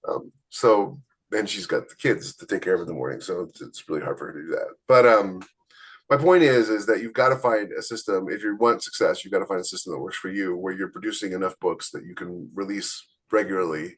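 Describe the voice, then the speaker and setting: A man's voice: deep voice, fairly quick, breathy edge. A man chatting casually as a podcast co-host, giving writing advice in a blunt, emphatic, opinionated way.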